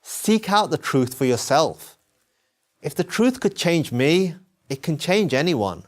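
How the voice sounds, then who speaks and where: A man's voice: a little high, sing-song, takes it slowly. A young adult man, giving an earnest, emphatic scripted first-person narration as a studio voice-over. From England.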